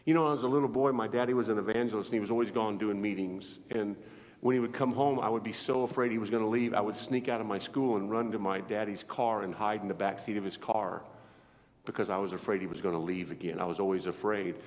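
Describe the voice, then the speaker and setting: Animated fifty-something evangelist preaching. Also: male voice, fairly low pitch, fast. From Iowa, United States. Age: 50s. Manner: animated storytelling, swinging between loud outbursts and quiet asides. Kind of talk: sermon. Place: church sanctuary. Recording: echoey church hall, dull lo-fi live recording.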